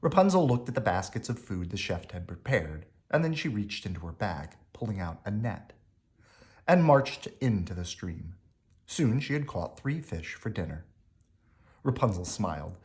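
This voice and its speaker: Man, low voice, swooping lively intonation, emphatic phrasing, children's story read-aloud. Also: normal speed. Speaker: storybook reader.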